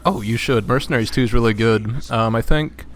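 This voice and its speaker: A man's voice: mid-range voice, brisk. A man joining as guest co-commentator, chatting casually about games in a jokey, anecdotal way with hesitant phrasing. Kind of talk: casual game chat. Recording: faint background noise.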